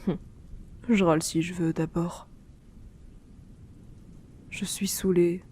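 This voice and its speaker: A sulky, grumbling tsundere girlfriend, played by a woman, complaining in a half-whisper during an ASMR roleplay. Her speech is slow and drawn out. A storm and rain are clearly heard behind her. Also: fairly low pitch, little pitch movement, dark tone, a little hiss or hum. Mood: grumpy. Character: grumpy sulky young woman, tsundere partner.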